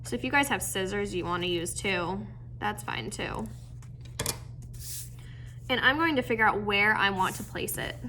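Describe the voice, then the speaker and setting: A woman's voice: medium pitch, expressive, normal speed, pauses often, sharp tone, a little hiss or hum. A woman teaching an art project to children in a casual, encouraging, instructional way. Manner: encouraging, casual, addresses listeners as guys. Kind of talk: friendly instructional how-to for kids.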